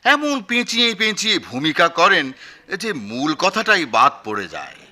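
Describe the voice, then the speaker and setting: Domineering, very bright-voiced editor. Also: male voice, high voice, wildly swooping pitch, normal speed, heavily acted. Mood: chiding. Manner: chiding, pompous. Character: shrewd, domineering, self-important male newspaper editor. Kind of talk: 1990s radio play acting.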